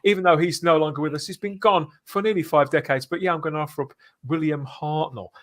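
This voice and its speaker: A man's voice: on the high side, steady tone, quick pace, a little hiss or hum. A man hosting a live fan podcast, talking in an earnest, emphatic way. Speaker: podcast host.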